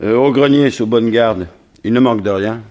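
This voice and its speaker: An actor playing a gruff older criminal henchman, blunt and inquisitive, in a 1960s French radio drama. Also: mid-range voice, normal speed, darkish tone, muffled recording, natural voice. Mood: wary, curious.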